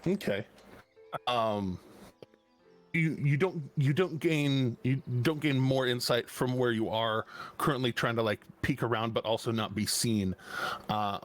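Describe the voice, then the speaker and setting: A man narrating as game master, describing scenes slowly with frequent pauses and restarts. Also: mid-range voice, faint music behind. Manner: slow, halting, many restarts and fillers.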